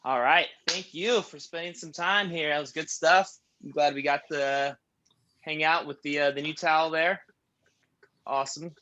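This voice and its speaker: A man in his thirties leading a stretch class, joking between calm breathing cues. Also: fairly high pitch, normal speed, highs cut off. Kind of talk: guided stretching class with casual chat.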